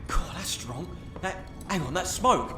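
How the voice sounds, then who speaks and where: A man playing a frightened, excitable paranormal investigator presenting live TV in an audio drama. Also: high-pitched, measured pace, roomy sound, music in the background. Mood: terrified pleading. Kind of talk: full-cast audio drama acting.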